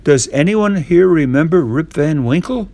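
A man's voice: fairly high pitch, lively intonation, moderate pace, mellow, dry recording. A man playing a bewildered, good-natured old villager in a storybook, earnest and questioning. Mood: pleading.

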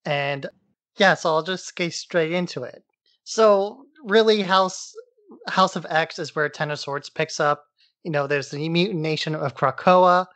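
A man's voice: on the high side, a little slow, a bit bright, dry recording. A man co-hosting a podcast, giving a casual, informal and irreverent plot recap. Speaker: podcast co-host.

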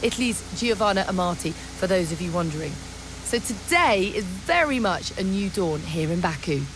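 An actress playing an excited, informative TV co-commentator at a motor race in a game. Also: female voice, fairly low pitch, normal speed, noisy background, lightly acted. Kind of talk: sports commentary.

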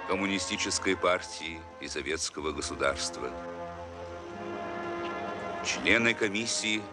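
A man's voice: sits low, lively intonation, measured pace. A man announcing a state funeral on archival television in a formal, solemn manner, over mournful music. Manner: formal and solemn, listing officials. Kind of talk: solemn broadcast commentary.

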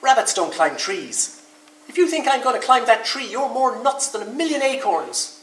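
A man's voice: on the high side, animated, takes it slowly, echoey room, recorded from a distance, voice pushed up. A man voicing a grumpy, stubborn young male rabbit with an honest streak in a children's story. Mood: indignant. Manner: blustering when cross, hushed when awed.

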